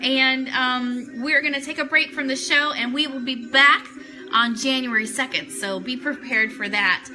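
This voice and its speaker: Chatty lady doing a live fan show, really bright high voice, gushy, casual with viewers. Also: fairly even intonation, a little slow. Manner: chatty, enthusiastic, effusive. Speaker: host of an Elvis fan talk show. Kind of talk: casual livestream chat, greeting viewers. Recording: music playing in the background. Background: Christmas music.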